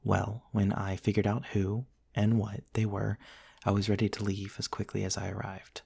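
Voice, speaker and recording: A man playing a gentle, courteous centuries-old vampire prince in an intimate ASMR roleplay, soft-spoken and formal, with hesitant pauses. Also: fairly low pitch, flat delivery, gravelly, husky, no echo. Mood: somber, reflective. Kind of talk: intimate ASMR roleplay, storytelling to one listener.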